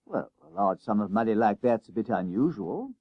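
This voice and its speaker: A man as a calm schoolmaster, dry sound. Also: lowish voice, husky, no echo, muffled recording. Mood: calm, questioning. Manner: measured, reasonable questioning. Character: calm, mildly amused adult male schoolmaster.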